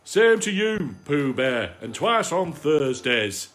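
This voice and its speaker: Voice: medium pitch, sing-song, slow pace, a bit bright, faint music behind. A storybook reader voicing a gloomy, self-pitying old male donkey with melancholy, sarcastic grumbling. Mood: sardonic, grumbling.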